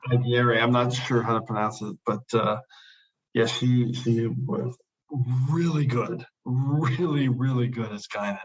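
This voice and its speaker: A man's voice: medium pitch, steady tone, unhurried. Enthusiastic male podcast host, slightly muffled. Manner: enthusiastic, repeats praise words. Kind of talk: casual podcast discussion.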